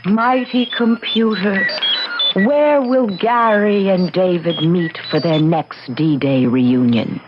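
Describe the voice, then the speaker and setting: An actress playing an earnest, theatrical grown daughter and fortune teller in an old-time radio drama, on a muffled, noisy recording. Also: female voice, lowish voice, takes it slowly. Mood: grandly theatrical.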